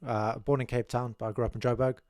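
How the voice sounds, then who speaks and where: A man's voice: mid-range voice, level tone, quick pace, a little mellow, no echo, clean studio sound. A young man from South Africa, a podcast guest talking casually and modestly about his life, often restarting his sentences. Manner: modest, rambling with many restarts. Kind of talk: casual interview chat. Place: small studio room with curtains. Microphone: desk mic on boom arm, headphones.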